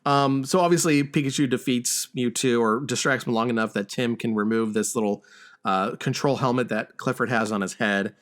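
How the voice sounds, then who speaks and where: A man hosting a podcast, talking casually through a film's plot with a halting, stammering delivery. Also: medium pitch. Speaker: podcast host. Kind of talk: casual film discussion and plot recap.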